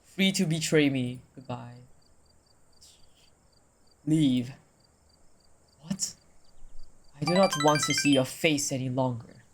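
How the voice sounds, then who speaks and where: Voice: medium pitch, slow, pauses often, faint music behind, close to an everyday voice. A streamer voicing a grieving, wrathful harvest goddess in a visual novel, dramatic and stern. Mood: cold, scornful.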